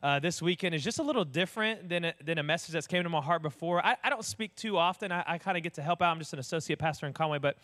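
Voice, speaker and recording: A young man, an associate pastor with a booming voice, telling stories in a casual, animated sermon. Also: rapid-fire, few pauses. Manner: animated storyteller, acts out voices.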